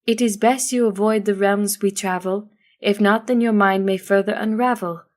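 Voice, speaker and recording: Woman playing wise female storybook zebra healer, mid pitch, cryptic, measured rhyming delivery. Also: fairly even intonation, close to an everyday voice. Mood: solemn. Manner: measured, speaking in rhyming couplets.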